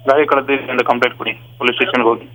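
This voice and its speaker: A man's voice: medium pitch, little pitch movement, rapid-fire, crowd noise, rough recording. A man answering a loan question on the phone in a blunt, impatient manner. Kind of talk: phone conversation.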